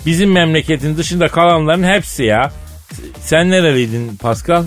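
A man's voice: a little high, normal speed. A man co-hosting a radio show in casual comic banter, colloquial and teasing, given to mock indignation. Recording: music bed behind the voice. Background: music.

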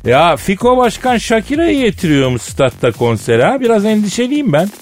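A man's voice: high voice, very animated, moderate pace. A man co-hosting a radio show in casual comic banter, colloquial and teasing, given to mock indignation. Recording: music bed behind the voice. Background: music.

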